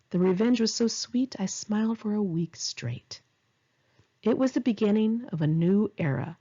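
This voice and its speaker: Husky, lively woman reading memoir. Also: mid-range voice. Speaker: author reading a memoir chapter. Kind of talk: memoir narration, conversational read-aloud. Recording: dull, lo-fi home recording.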